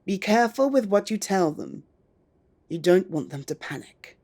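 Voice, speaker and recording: A woman voicing a stern female werewolf council elder who speaks firmly and sometimes drops to a hush. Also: mid-range voice, big pitch swings, moderate pace. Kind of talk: amateur audio drama acting.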